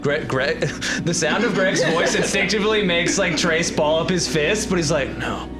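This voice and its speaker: A man's voice: fairly high pitch, moderate pace, in a large hall, over loud music. A man talking casually as a player in a tabletop role-playing game, slipping between narrating and speaking in character.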